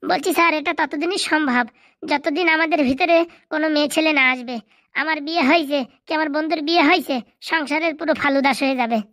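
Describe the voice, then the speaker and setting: A man voicing an excitable, cheeky young male cartoon buddy, with slangy bantering delivery and a pitched-up effect. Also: fast, no echo, studio quality, heavily acted. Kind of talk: comedy cartoon dubbing in colloquial rural dialect.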